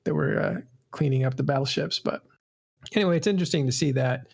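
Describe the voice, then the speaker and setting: A man's voice: mid-range voice, fast, gravelly, slightly rough. A man hosting a casual panel podcast, rambling and correcting himself as he goes. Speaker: podcast host.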